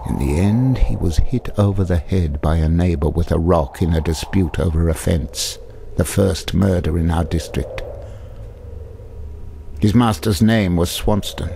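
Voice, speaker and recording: An actor playing a brooding cab driver who tells grim local history in a slow, measured radio play monologue, with faint noise behind. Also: male voice, sits low, creaky, warm tone.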